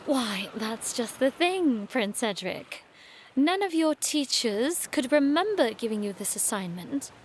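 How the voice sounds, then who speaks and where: Young noblewoman, very high voice, arch, teasing, audio drama, faint background noise. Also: sing-song, a little slow. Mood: suspicious, playful. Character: young noblewoman student, sardonic, teasing, sharp-witted.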